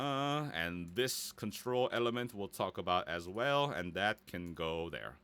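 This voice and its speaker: Bright-voiced, animated man leading a casual tutorial. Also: low voice, clean tone. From Korea or Korean heritage, based in Los Angeles. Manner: playful, chatty, tosses in silly interjections. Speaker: voice actor leading a website tutorial. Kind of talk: casual live screen-share tutorial. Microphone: close studio microphone in a dry home studio.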